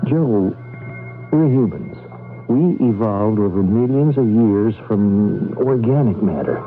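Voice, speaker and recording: Grave doomsayer on narrow-band old radio. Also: male voice, fairly low pitch, lively intonation. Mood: grave, revelatory. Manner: portentous, hesitant, dramatic pauses. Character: grave, fearful male fugitive warning of doom. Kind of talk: 1980s radio drama acting. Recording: tinny vintage radio broadcast, faint music behind.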